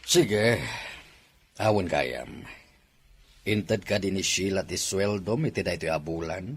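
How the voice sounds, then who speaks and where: A man's voice: deep voice, slow pace, husky, heavily acted. A man voicing a kindly, authoritative older company owner who speaks to his son and his housemaid in a radio drama. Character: older male company owner, father and employer.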